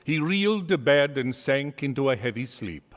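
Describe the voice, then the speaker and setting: A man's voice: mid-range voice, expressive, moderate pace. A man narrating a radio drama with theatrical, scripted storytelling. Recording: long hall reverb.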